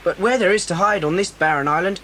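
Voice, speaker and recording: An actress playing a bold, practical child adventurer in a children's audio drama. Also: female voice, lowish voice, quick pace, heavy background noise, natural voice. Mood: grave, resolute.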